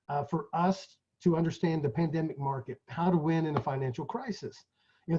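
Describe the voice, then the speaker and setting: Higher-voiced male event host, effusive. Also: dark tone. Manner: effusive, chummy. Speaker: event host introducing a speaker. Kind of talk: informal live introduction. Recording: dull lo-fi audio.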